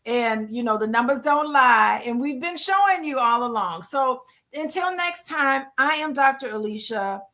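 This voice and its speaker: An American woman with a very high-pitched, sharply bright voice. She hosts in a casual, upbeat way. Her talk is enthusiastic and folksy, full of exclamations. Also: animated, moderate pace, hardly any pauses. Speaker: host, coach. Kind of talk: casual upbeat interview chat.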